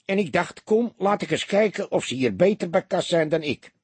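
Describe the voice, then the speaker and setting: A man's voice: high-pitched, lively intonation, normal speed, slightly rough, dry recording, pitched above the performer's own voice, exaggerated voice. A man voicing a chatty small-time burglar in an audiobook, boastful yet nervous and wary, talking colloquially. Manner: chatty, colloquial, hesitant when cornered.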